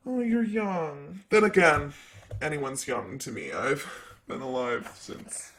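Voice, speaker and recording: Here is a man acting as a vain, flirty demon secretary in an ASMR roleplay. His voice sits a little high for a man, and he takes his time with every phrase. He is sassy and casually teasing, an ancient being doing desk work. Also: expressive, slow, fairly bright tone, slight background noise, full character voice. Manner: teasing, casual, drawling asides.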